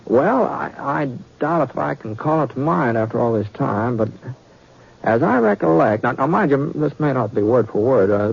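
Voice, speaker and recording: Kindly american cowboy, gravelly and hesitant, old muffled radio western sound. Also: male voice, mid-range voice. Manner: hesitant, halting, folksy drawl with repeated words. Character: kindly folksy drifting cowboy gunman telling a campfire story. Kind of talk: 1950s radio western acting. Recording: dull vintage 1950s radio recording.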